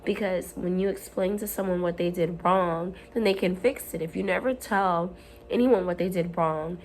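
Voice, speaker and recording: Woman, hoarse recovering voice, lowish pitch, earnest rambling self-help vlog, faint background hum. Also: normal speed, rough recording. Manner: earnest, rambling, with frequent ums and restarts. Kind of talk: candid motivational talk to camera. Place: at home.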